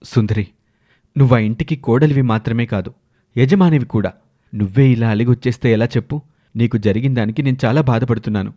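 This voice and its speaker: A man acting a domineering village husband in a dramatic animated moral story. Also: medium pitch, a little fast, natural voice. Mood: coaxing. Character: domineering village husband, scheming then wrathful.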